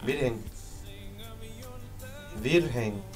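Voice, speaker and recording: Young guy talking real slow on a radio chat, faint music behind. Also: mid-range voice. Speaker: radio co-host. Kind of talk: casual youth radio chat.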